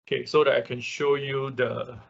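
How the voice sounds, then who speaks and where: A man teaching a software tutorial step by step in an unscripted, halting way, often marking steps with short filler confirmations. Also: mid-range voice, level tone, creaky. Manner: halting, often repeats "okay" and "right" as markers. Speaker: workshop instructor. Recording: dull lo-fi online class audio.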